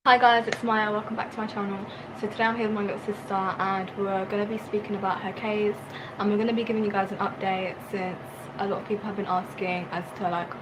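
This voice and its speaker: A woman's voice: mid-range voice, flat delivery, quick pace, background noise. A woman opening her video blog, played back as a clip. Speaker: video blogger. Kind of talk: vlog intro.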